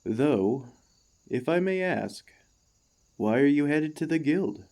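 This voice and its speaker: A man playing an earnest, devoted warforged ex-gladiator in an ASMR roleplay, speaking to the listener with formal, courteous, gently hesitant phrasing. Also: on the high side, lively intonation, takes it slowly. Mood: warm, tentative.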